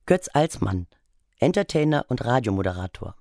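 A man narrates a radio documentary from a script. His delivery is formal and factual, in a medium-pitched voice with a dry, close studio sound. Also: very animated, moderate pace, vocal fry, a little mellow, no echo. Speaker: feature narrator.

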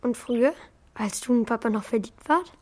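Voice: mid-range voice, normal speed, close to an everyday voice. A performer playing a curious, slightly shy schoolboy in a children's radio play, speaking hesitantly and colloquially. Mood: curious, teasing. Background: music.

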